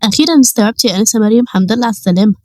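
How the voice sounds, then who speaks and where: Woman voicing a calm polite Egyptian police major. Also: a little high, rapid-fire, no echo, lightly acted. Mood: reassuring.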